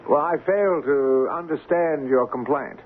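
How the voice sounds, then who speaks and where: An actor playing a haughty, callous shipping company boss with a formal, condescending manner in an old-time radio drama. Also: male voice, on the high side, a little slow. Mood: stiff, impatient. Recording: lo-fi, noisy radio drama recording.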